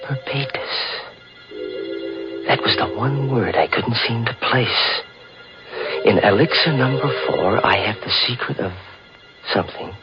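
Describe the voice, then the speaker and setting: A man's voice: medium pitch, unhurried, long pauses, lightly acted. An actor playing a young male chemistry teacher who narrates his own story in a 1940s radio drama, earnest and suspenseful. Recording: muffled old radio recording with music.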